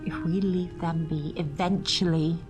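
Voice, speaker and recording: An actress playing a gentle, cautious mother of a desert tribe in an audio drama, soothing and hushed. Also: on the low side, steady tone, a little slow, lightly acted. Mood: tender, resigned. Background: dramatic music.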